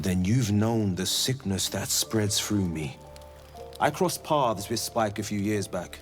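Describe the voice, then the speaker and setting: A vengeful young monster hunter from a fantasy video game. He speaks slowly and theatrically, brooding one moment and grandly heroic the next. A prominent musical score plays behind his voice. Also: on the low side, lively intonation. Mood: bitter, brooding. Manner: theatrical, declamatory. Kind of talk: dramatic video game dialogue acting. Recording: loud music bed behind the voice.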